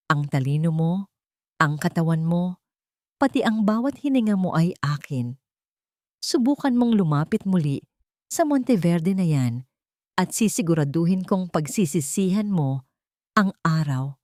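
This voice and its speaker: A woman's voice: low voice, expressive, unhurried, long pauses, a little mellow, dry recording. A cruel, possessive husband in an audio love drama, acted out by a woman, menacing and cold. He speaks with domineering jealousy on a dry recording.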